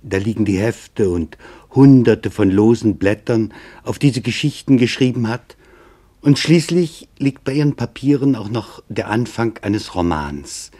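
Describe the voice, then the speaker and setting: An actor playing a grave, measured chronicler, narrating a German radio drama in a solemn, deliberate way. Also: male voice, fairly low pitch, animated, mellow. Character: grave, measured male chronicler reporting witness testimony.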